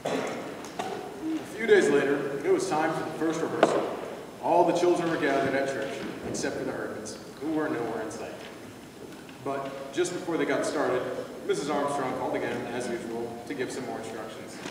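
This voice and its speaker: A man's voice: mid-range voice, moderate pace, natural voice. A man playing the plain storyteller of an amateur readers theater Christmas skit, reading scripted narration. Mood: narrating. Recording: distant, very echoey hall with background noise.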